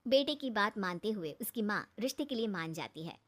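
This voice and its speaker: A woman's voice: medium pitch, expressive, brisk, a bit bright. A woman reading scripted narration for a cartoon story.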